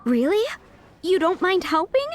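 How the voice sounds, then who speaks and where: A woman playing an earnest, proud, harried young restaurant cook, polite and expressive, in an anime-style game. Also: very high-pitched, unhurried, slight background noise, exaggerated voice. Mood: grateful, delighted.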